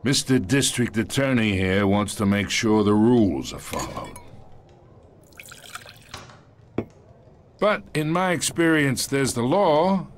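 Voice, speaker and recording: An actor playing a smug, menacing middle-aged mob boss in a video game, with a slangy, swaggering, taunting delivery. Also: male voice, mid-range voice, fairly bright tone. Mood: condescending.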